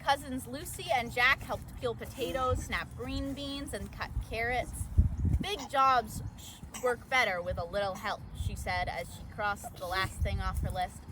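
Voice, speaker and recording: A woman's voice: fairly high pitch, fairly even intonation, a little slow. A woman reading a children's story aloud as a volunteer storyteller, expressively acting out the dialogue and sound words, recorded outdoors. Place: outdoors at an orchard event. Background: outdoor ambience and background noise.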